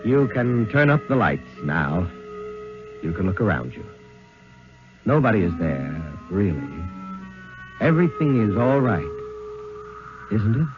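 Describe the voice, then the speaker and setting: Low-voiced male announcer over loud music. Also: very animated, measured pace, long pauses. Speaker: radio announcer and host. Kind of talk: 1950s scripted radio announcing. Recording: dull vintage radio recording.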